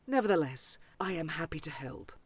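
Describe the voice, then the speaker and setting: A woman's voice: low-pitched, expressive, fairly quick, slightly rough, dry recording. A woman playing a proud older dragonfolk scholar in a fantasy audio drama, grandly formal and haughty. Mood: wry, knowing.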